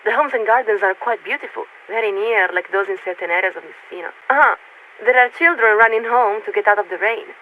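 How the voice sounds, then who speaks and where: A composed, idealistic warship radio officer for a video game, comes through a narrow, tinny radio link with some static. The voice is formal and courteous, choosing each word with care. It sits at a middling-to-high pitch and stays articulate and measured. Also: female voice. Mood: calm, descriptive. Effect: radio transmission filter.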